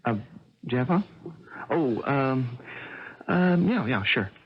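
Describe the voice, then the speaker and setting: An actor playing an evasive, uneasy college-age son in a radio drama, halting and distracted, often stammering. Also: male voice, mid-range voice, expressive, breathy edge. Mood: distracted, hesitant. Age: young adult. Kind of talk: 1980 American radio drama acting. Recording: muffled, faintly noisy old radio broadcast.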